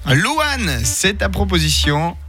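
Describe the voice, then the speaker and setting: A man's voice: sits high, big pitch swings, slow, pushed and bright, soft background music. A man co-hosting a radio game show in a radio studio, playful and punning, with announcer-like emphasis. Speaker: radio co-host.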